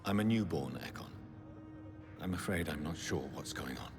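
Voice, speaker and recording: A young vampire doctor for a video game. His low voice moves expressively as he probes in formal phrases, ringing in a long hall-like reverb over music. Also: expressive. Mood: wary. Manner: formal, measured, probing. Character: earnest young vampire doctor, newly turned. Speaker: lead character. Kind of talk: dramatic video game voice acting.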